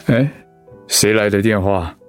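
An actor with a Taiwanese accent playing a gentle, ailing pastor and husband in a radio drama, earnest and reflective. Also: male voice, lowish voice, a little slow, faint music behind, natural voice. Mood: calm, earnest. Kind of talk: Mandarin radio drama acting.

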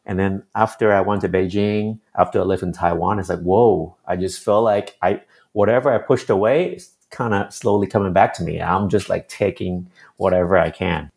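A man hosting a podcast, chatty and relaxed, who often ends his points with tag questions. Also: deep voice, normal speed, creaky, few pauses. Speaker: podcast host. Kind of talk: casual interview.

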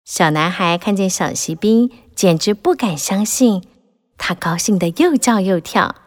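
A woman narrating a children's fairy tale in an animated, expressive way, rising and falling with the drama. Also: mid-range voice, measured pace. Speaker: storyteller.

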